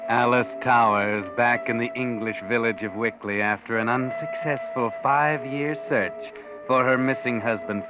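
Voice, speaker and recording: A man reading scripted narration in the style of a 1940s radio mystery. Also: medium pitch. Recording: muffled, narrow 1940s radio recording. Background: music.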